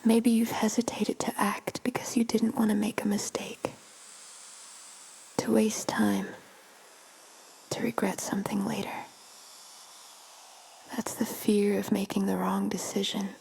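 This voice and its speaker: Whispered woman's voice, meditation guide, flat and gravelly, music behind. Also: mid-range voice, low-quality recording. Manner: slow, soothing, flat delivery.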